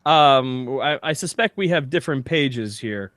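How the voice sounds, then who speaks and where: A man with an American accent talking casually as a podcast co-host, halting and a little pedantic. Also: mid-range voice, expressive, a bit bright, no echo, clean studio sound. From United States. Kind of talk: casual chat, reading text aloud.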